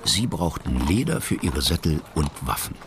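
An actor as the measured narrator of a historical radio play for children, telling the story in scripted narration. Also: male voice, a bass voice, very animated, heavy background noise, full character voice. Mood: calm, grave. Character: calm male storyteller of a historical children's tale.

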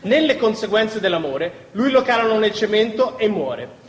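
A male stage performer delivers a live recitation in a theatre. His voice sits high for a man. The sound is very echoey, with a long hall reverb. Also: very animated, moderate pace, slight background noise.

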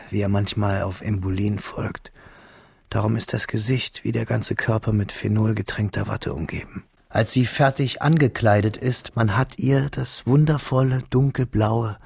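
An actor playing a grieving young schoolteacher who narrates his memories in a slow, hushed, sorrowful way for a radio drama. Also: fairly low pitch, steady tone, dry recording, studio quality, exaggerated voice. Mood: solemn. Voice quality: husky, breathy, gravelly.

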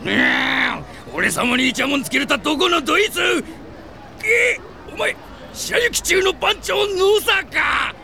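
Melodramatic, swooping teenage delinquent boss. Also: male voice, very high-pitched, expressive, slow, sharp tone, a faint crowd, slight background noise, full character voice. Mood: blustering. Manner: rough, boastful delinquent slang, theatrically exclaiming. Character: hot-headed, self-pitying male middle-school delinquent gang boss. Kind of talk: comic audio drama acting.

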